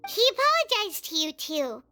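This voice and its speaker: A woman voicing a tiny, excitable fairy companion in a video game, with a squeaky childlike voice and an exclaiming, naive manner. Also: normal speed, soft background music, heavily acted. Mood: inquisitive. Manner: refers to self in third person, exclaiming.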